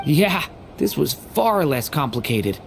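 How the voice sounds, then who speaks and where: A woman playing an easygoing young female adventurer in a fantasy video game dub, relaxed and conversational. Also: sits low, slow, creaky, slight background noise, full character voice. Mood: content, relaxed.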